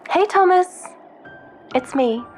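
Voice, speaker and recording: A woman's voice: very high voice, animated, slow pace, heavily acted. An actress playing a caring female ship intelligence in a sci-fi audio drama, talking in an intimate, hesitant, conversational way as if leaving a personal message. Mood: gentle reassurance. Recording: background music under the voice. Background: music.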